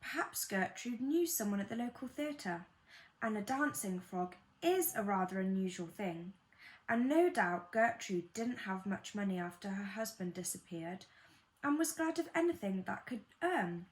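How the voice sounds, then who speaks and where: A woman reading a children's bedtime story aloud in an expressive storytelling manner, with gentle delivery and dramatic pauses. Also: medium pitch. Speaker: storybook reader.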